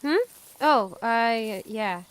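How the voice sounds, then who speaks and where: A woman's voice: a little high, lively intonation, drawn-out words, smooth. A woman playing a naive, hesitant young vault dweller in a post-apocalyptic audio drama, polite but a little grumpy. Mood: sleepy, grumbling. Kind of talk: fan audio drama acting.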